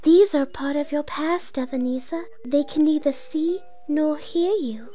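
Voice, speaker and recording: A woman voicing a small, solemn child spirit in a soft, airy voice for a storybook read-along. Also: sits high, a little slow, soft background music. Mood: solemn, gentle.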